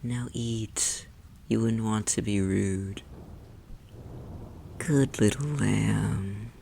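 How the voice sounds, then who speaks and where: An ASMR roleplay performer voicing a haughty, possessive demon who condescends to and teases a human captive, often in a breathy whisper. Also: medium pitch, very slow, dark tone, a little hiss or hum. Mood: commanding, disdainful. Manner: condescending, teasing, imperious, theatrically formal.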